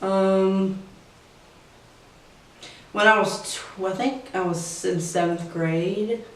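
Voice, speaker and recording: A nineteen-year-old woman from Kentucky, telling a story hesitantly with many pauses and fillers. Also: mid-range voice, very echoey, far from the mic, poor audio. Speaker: interviewee.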